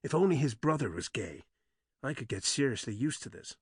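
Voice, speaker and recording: A male narrator voicing the heroine's teasing, upbeat brother, pitched higher than his narration. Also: fairly quick, breathy edge, dry recording, clean studio sound. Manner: lively, teasing.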